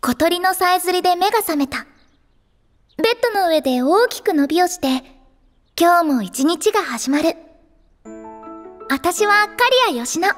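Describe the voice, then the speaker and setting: A woman playing a cheerful, earnest teenage girl idol who narrates an anime audio drama in a sweet, expressive way. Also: extremely high pitch, moderate pace, pauses often, in a large hall. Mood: bright, gentle. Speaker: narrator and lead character. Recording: music behind the voice.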